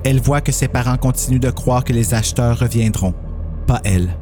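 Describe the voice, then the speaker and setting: A man's voice: mid-range voice, fairly quick, over music. A man narrating a scripted horror story as a podcast host.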